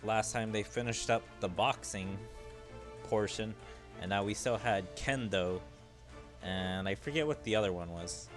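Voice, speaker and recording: A man hosting a gaming video, giving casual, low-key gameplay commentary with rambling asides. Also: unhurried. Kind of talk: casual gameplay commentary, reading game dialogue aloud. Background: game music.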